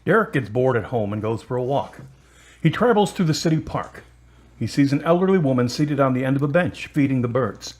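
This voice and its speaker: A man's voice: medium pitch, lively intonation, a little fast, a little mellow. A male narrator reads scripted story passages for an audio drama. His voice sits in a middle register.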